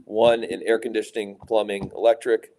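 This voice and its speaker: A middle-aged man from Louisiana, a business executive answering interview questions in a measured way, with many fillers and restarts. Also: lowish voice, level tone, mellow, a little hiss or hum. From Louisiana, USA. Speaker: private equity executive, guest.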